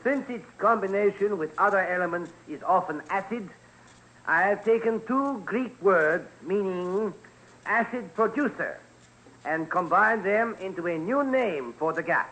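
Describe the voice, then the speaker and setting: Bright, high-voiced French chemist. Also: male voice, animated, measured pace, exaggerated voice, low-quality recording. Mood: dignified, precise. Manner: precise, declarative. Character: Antoine Lavoisier, dignified French master chemist. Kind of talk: radio documentary dramatization.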